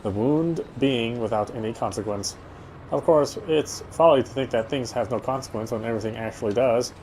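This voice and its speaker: A man's voice: medium pitch, fairly quick, darkish tone, a little hiss or hum, poor audio. A man reading visual novel narration aloud as he plays. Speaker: let's player reading a game aloud.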